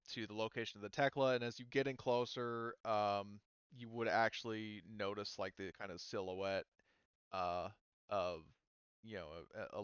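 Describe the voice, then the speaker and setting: A man with a low, resonant voice runs a tabletop roleplaying game. He narrates and rules informally, in a casual conversational style. The sound is dry and a little dull. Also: fairly bright tone, smooth, no room sound. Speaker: game master.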